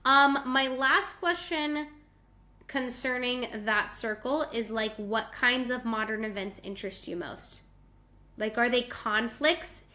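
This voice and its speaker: Woman podcast host, talkative, fairly high, very bright tone. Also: takes it slowly. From United States. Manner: chatty, peppered with "like". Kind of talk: casual chat.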